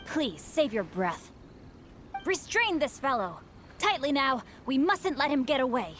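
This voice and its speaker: A woman's voice: high voice, sing-song, a little slow, a bit bright, a little hiss or hum, exaggerated voice. A woman voicing a courteous, self-assured, bookish young nobleman in a video game, speaking formally and eloquently with a theatrical touch. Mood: stern.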